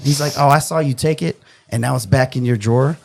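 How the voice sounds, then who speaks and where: A young man from New York telling a profane, animated story in a casual podcast chat. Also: medium pitch, brisk, creaky. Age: 20s.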